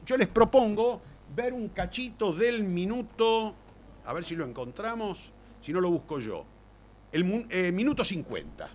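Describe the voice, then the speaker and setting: Older male lecturer, Argentine Rioplatense accent. Fairly high voice, very lively intonation, colloquial and emphatic. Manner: animated, colloquial storyteller with emphatic repetition. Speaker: playwright and lecturer. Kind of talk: informal conference lecture.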